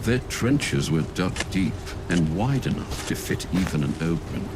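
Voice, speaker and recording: A man's voice: low voice, animated, unhurried, background noise. A man narrating a scripted war audio drama in a dramatic storytelling style. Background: loud music with battle effects.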